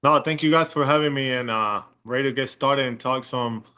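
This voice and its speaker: A young man from Chile with a Chilean Spanish accent, a guest in a casual interview, talking in a relaxed, rambling way with many fillers. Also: mid-range voice, a little fast, clear, resonant tone. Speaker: guest, pro basketball player.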